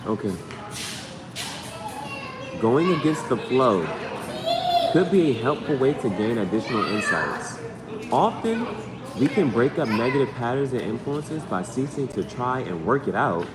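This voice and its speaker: A man's voice: medium pitch, very animated, measured pace, pauses often. A man hosting a casual live tarot reading, partly reading aloud and partly improvising, repeating phrases for emphasis. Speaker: tarot reader hosting a livestream.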